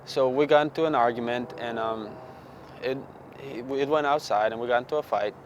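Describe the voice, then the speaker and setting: A man from Bosnia with a Bosnian accent, giving an earnest, grateful testimonial with halting restarts. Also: medium pitch, dull audio, a little hiss or hum. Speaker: client. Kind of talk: documentary testimonial.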